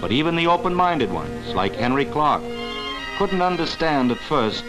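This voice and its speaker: Earnest male documentary narrator, lively pitch. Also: normal speed, music in the background, poor audio. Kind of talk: scripted narration, mid-century educational film.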